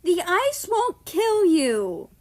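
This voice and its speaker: A woman's voice: very high-pitched, wildly swooping pitch, very slow, pitched above the performer's own voice, exaggerated voice. A woman voicing a frank, matter-of-fact boy in a story. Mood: blunt.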